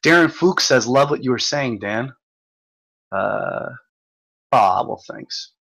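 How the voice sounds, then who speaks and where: A man hosting a livestream, ranting in a profane, stumbling, repetitive way while reading comments, his voice going hoarse. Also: medium pitch, expressive, takes it slowly, fairly bright tone. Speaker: livestream host. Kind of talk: rambling livestream rant.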